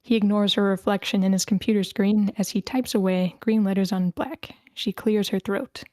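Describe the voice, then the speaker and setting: A woman's voice: mid-range voice, fairly even intonation, normal speed, a little mellow, dry recording. A woman reading stage directions as narrator at a screenplay table read over an online call. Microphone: video call.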